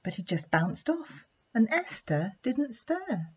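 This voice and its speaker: A woman reading a children's story aloud in an expressive, deliberate storytelling manner. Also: on the high side, husky. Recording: dull lo-fi sound.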